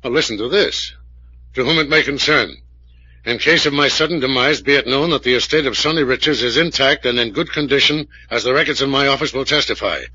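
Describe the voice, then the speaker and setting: An actor playing an authoritative, careful police captain in old-time radio drama, firm and official. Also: male voice, mid-range voice, fairly quick, pushed and bright, slight background noise, lightly acted. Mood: reading aloud gravely. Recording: muffled vintage radio recording.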